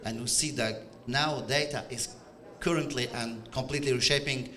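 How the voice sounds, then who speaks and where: Man, middle-aged, Algerian accent, bright voice, hesitant conference talk, many fillers. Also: mid-range voice, fairly even intonation, normal speed. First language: French and Arabic. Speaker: conference speaker. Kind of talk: conference presentation. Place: conference stage.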